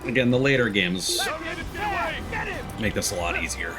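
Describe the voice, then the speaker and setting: A man's voice: deep voice, a little slow. A man giving casual, playful let's play commentary as a game streamer. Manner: playful, jokey. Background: game music.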